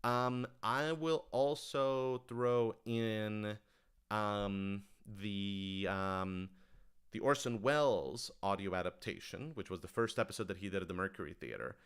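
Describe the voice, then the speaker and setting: Male creator for livestream Q&A: lowish, smooth voice, informal and keen, thinks aloud with halting, emphatic phrasing. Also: fairly bright tone. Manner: enthusiastic, emphatic. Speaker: writer-director answering audience questions. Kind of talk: casual livestream Q&A chat.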